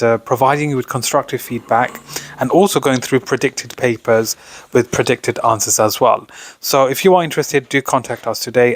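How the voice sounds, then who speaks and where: A man's voice: medium pitch, no room sound. A man presenting an exam revision lesson as a tutor, talking informally through notes and posing questions that he then answers. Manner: explanatory, poses questions then answers them. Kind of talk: informal instructional commentary over revision notes.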